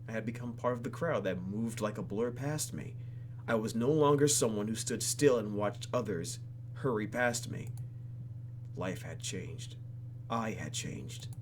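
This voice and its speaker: A man's voice: on the low side, noisy background. A man reading a video game's story aloud in a playful, theatrical way, breaking off for asides as he hosts. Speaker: let's play host reading a game aloud. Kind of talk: expressive reading of game narration with asides.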